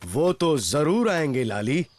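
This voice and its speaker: Commanding cartoon wolf chief. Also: male voice, on the high side, very animated, slow pace. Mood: stern, assured. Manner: commanding, formal. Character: authoritative male wolf pack leader. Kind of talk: Hindi-dubbed cartoon voice acting.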